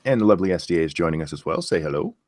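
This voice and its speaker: A man's voice: low voice, expressive, very fast, a little mellow, dry recording. A man hosting a live stream, chatting casually with dry, joking banter. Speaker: stream host.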